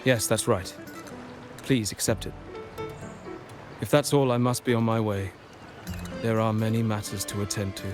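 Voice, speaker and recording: A man voicing a courteous, formal nobleman from a merchant family in a game cutscene, speaking with smooth persuasion over game music. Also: on the low side, steady tone, moderate pace, long pauses, natural voice. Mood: earnest.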